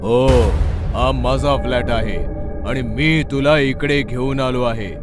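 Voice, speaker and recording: A man's voice: mid-range voice, fairly even intonation, slow, natural voice. Man acting a bitter, menacing male ghost over music. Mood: brooding, resentful. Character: bitter, vengeful ghost of a dead male actor. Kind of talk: dramatised horror story acting. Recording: loud music under the voice.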